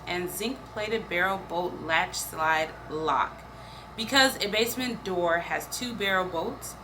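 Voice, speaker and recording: Female poetry reader, very slow and measured, mid-range pitch, with audible ambient noise. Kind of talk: poetry reading aloud. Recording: clear background noise, room ambience.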